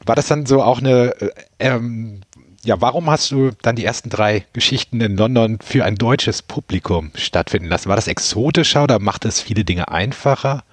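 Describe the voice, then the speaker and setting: A man interviewing an author in a relaxed, chatty way, addressing her informally. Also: medium pitch, normal speed, breathy edge, dry recording. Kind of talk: casual interview conversation.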